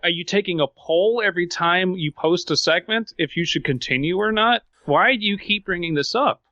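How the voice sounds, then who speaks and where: A man's voice: high-pitched, moderate pace, sharp tone, dry recording, dull audio. A man co-hosting a podcast, picking a story apart with sarcastic, profane critique. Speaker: podcast co-host. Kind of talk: casual comedic chat.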